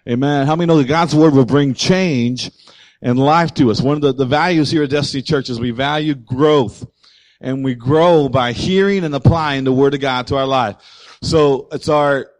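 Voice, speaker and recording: A man preaching a sermon as pastor, slightly hoarse, speaking loudly and emphatically and calling out to the congregation. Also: on the high side, no room sound, rough recording. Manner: loud, emphatic preaching with audience call-outs. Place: church.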